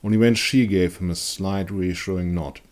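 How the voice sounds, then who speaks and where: Deep-voiced man narrating dark fantasy. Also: steady tone, normal speed.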